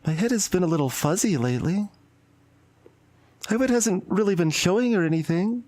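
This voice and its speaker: A woman playing a shy, anxious and intense high school girl in a visual novel, speaking in a hesitant, confiding way. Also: lively intonation, fairly quick, a little mellow, no room sound. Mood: hesitant, apologetic. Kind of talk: dramatic visual novel voice acting. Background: background music.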